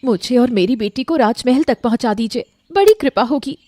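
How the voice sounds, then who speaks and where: Woman as a worried, high, soft-toned mother. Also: lively intonation, moderate pace. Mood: anxious, pleading. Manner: pleading, earnest. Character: worried, polite stranded mother pleading for help. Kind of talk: storybook audio drama.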